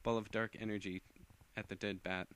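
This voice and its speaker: A man's voice: on the low side, level tone, moderate pace, a bit bright, a little hiss or hum. A man narrating fan fiction as an amateur reader, often stumbling and rereading phrases.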